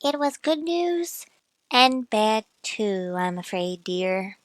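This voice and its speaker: An actress playing a gentle, troubled wife and mother in a radio drama, speaking hesitantly. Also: medium pitch, sing-song, takes it slowly, no echo, studio quality. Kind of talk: old-time radio drama acting.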